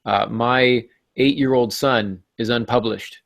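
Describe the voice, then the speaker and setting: A middle-aged man with a mid-pitched voice hosts a casual podcast. He talks in a playful, tongue-in-cheek manner. The sound is dry, with almost no room in it. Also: fairly even intonation, takes it slowly, creaky, dry recording. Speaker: podcast host. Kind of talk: casual, joking podcast talk.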